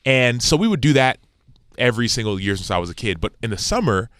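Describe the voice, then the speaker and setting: Earnest guest in his thirties, rapid talker, dry close mic. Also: medium pitch, sing-song, a bit bright, smooth, no echo. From United States, Texas. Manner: earnest, repeats key phrases for emphasis. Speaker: guest, former pro football player. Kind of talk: conversational interview answers.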